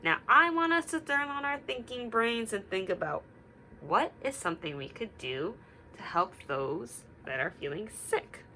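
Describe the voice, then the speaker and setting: Expressive, bright-voiced woman reading to children. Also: a little high, measured pace, a little hiss or hum. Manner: expressive, simple explanations for young children. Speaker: teacher reading aloud. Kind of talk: children's read-aloud with questions to listeners.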